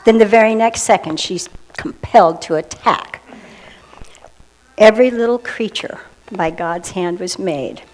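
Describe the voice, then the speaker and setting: A woman with a mid-range voice tells a story to children. She reads expressively, as a church member sharing verse and simple narration, and lets some phrases sink into a breathy hush. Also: medium pitch, unhurried, poor audio. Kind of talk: storytelling to children, reading a rhyming poem aloud.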